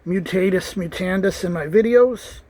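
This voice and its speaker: A man's voice: sits high, moderate pace, darkish tone. A man talking casually to camera in an earnest, rambling way, with restarts and asides. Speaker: vlogger.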